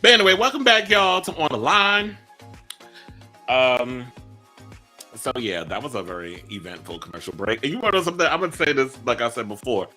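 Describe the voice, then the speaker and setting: A man from New York hosting a casual interview show, chatty, playful and animated. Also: medium pitch, a little fast, soft background music. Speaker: interview host.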